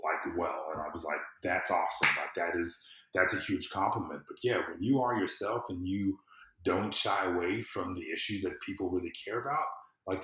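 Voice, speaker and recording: A man with a fairly low voice speaks in a roomy, echoing space. He tells his experience as a podcast guest in an informal, earnest way, often restarting his sentences as he works through a thought. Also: a bit bright. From US South. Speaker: podcast guest, civic consultant and former candidate. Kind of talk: conversational interview, personal storytelling.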